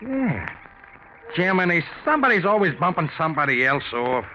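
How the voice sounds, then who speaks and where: Casting: talkative park bum, lively swooping pitch, slangy, grumbling, old-time radio drama. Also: male voice, moderate pace. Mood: friendly, curious. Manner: folksy slangy talk, animated. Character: chatty, grumbling, streetwise hobo. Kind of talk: 1940s radio acting. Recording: muffled old radio recording with faint noise.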